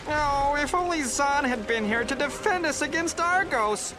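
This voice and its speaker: A man's voice: very high voice, moderate pace, low-quality recording. An actor playing an eager old male alien villager who loves telling war stories. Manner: excitable, rambling storyteller. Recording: game audio over loud music.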